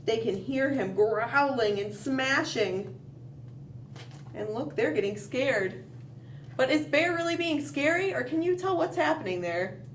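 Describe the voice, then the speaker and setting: A woman hosting a children's storytime, reading a picture book aloud expressively, with dramatic pauses and questioning asides to young listeners. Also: sits high, animated. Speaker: children's library storytime host. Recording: roomy, distant mic, faint background noise.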